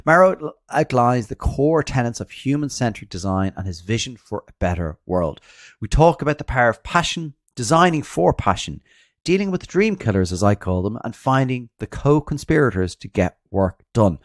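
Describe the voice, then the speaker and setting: Podcast host, dry close sound. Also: male voice, medium pitch, big pitch swings, moderate pace, no echo. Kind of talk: interview podcast.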